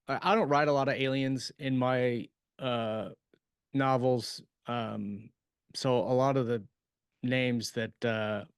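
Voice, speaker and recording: Male podcast host, medium pitch, casual chat, dry acoustic. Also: smooth, dry recording. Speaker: podcast host and science fiction author. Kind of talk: casual, loose conversational hosting.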